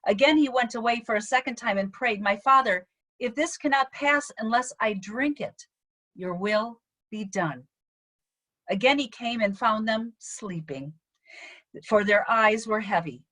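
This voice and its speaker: A middle-aged woman pastor giving a measured, solemn dramatic scripture reading over a video call. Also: fairly high pitch, bright, forceful tone. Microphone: video call microphone.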